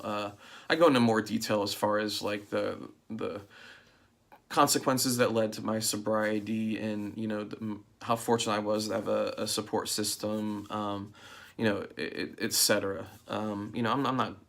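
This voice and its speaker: A man in his forties talking in a casual, reflective monologue to his channel, halting often with fillers and restarts. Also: lowish voice, vocal fry, a bit bright. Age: 40s. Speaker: vlogger.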